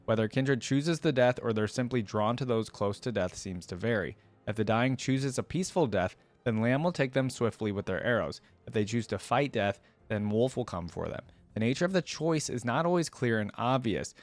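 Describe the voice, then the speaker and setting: A man's voice: medium pitch, lively intonation, moderate pace, hardly any pauses. A man giving casual reaction commentary, reading lore aloud and adding curious, informal asides as he goes. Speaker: reaction video creator.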